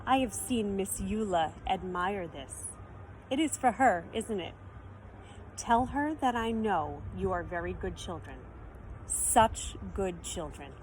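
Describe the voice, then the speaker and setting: A woman reading a storybook and voicing an old immigrant male shopkeeper, stern but kindly, with a thoughtful, deliberate way of speaking. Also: medium pitch, animated, a little mellow, a little hiss or hum, low-quality recording. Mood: gentle, kind.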